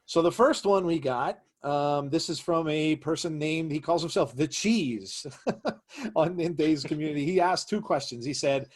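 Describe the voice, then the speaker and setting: A man's voice: on the high side, a little slow. Enthusiastic male podcast host. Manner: enthusiastic, heavy on praise and fillers. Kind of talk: casual interview chat.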